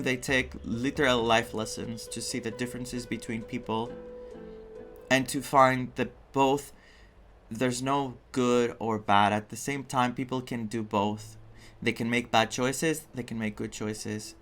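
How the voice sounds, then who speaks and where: Earnest male streamer with mid-pitched voice. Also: steady tone, measured pace, a bit bright, faint music behind. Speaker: game streamer. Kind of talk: casual reaction commentary.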